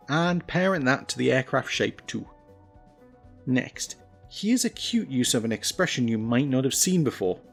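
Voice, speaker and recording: A man presents a scripted tutorial in a mid-pitched voice. He gives instructions step by step, slipping in dry, self-deprecating asides. Faint music plays behind him. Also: creaky.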